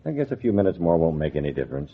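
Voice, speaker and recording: An actor playing a kindly, awkward uncle in a 1940s radio drama, speaking mildly and hesitantly. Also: male voice, sits low, sing-song, rapid-fire. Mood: amiable. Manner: hesitant, mild, humming and hawing. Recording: noisy, tinny old 1940s radio recording.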